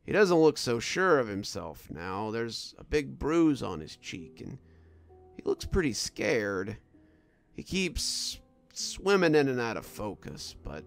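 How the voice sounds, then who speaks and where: A man voicing a wounded, delirious prison guard sergeant in a horror story, speaking in a weary, halting, frightened way. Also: medium pitch, creaky. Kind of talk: first-person horror story narration.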